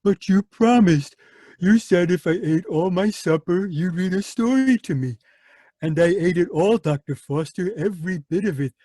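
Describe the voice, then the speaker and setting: A man's voice: high-pitched, normal speed, warm tone, dry recording. A man playing a childlike, violent asylum patient who whines and pleads, then turns menacing, in a radio drama. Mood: pleading. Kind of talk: live radio drama reading over video call.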